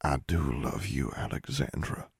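Male reader as grave fantasy father: low-pitched, solemn, formal, stern, for a dramatic audiobook. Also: steady tone, unhurried, creaky, a little mellow, no room sound, clean studio sound, exaggerated voice. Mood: hushed remorse. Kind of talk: dramatic audiobook character acting.

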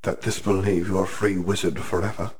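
A man voicing a menacing masked male headmaster who speaks slowly and coldly. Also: low voice, fairly even intonation, darkish tone, slightly rough. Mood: threatening.

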